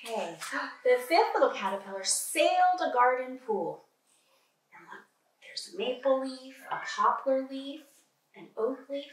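A woman's voice: sits high, a little slow, recorded from a distance, a little hiss or hum. A middle-aged woman teaching preschoolers, reading a picture book aloud in an encouraging, exclaiming way with questions for young listeners. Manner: animated, encouraging, exclaiming and gasping, addresses small children. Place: indoor kitchen at home, at a table. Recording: echoey room, away from the mic.

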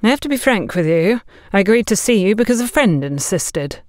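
A woman voicing a stern, frank middle-aged businesswoman in an audiobook, brisk and no-nonsense. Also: mid-range voice, big pitch swings, no echo, studio quality, pitched above the performer's own voice, natural voice. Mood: stern, skeptical.

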